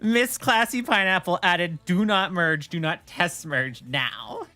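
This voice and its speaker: A woman's voice: fairly low pitch, slow, sharp tone. A woman chatting casually on a livestream, often stammering and repeating words as she explains things. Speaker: streamer and game server admin.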